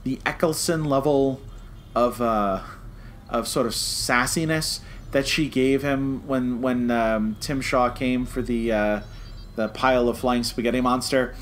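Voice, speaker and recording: A man co-hosting a review podcast in casual, conversational talk with halting, repeated starts. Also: medium pitch, fairly even intonation, a little hiss or hum.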